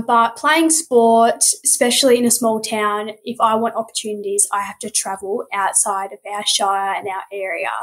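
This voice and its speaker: A woman's voice: mid-range voice, fairly bright tone, poor audio. Plain-spoken Australian teenage girl. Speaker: student guest. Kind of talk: casual chat. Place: school office, indoors.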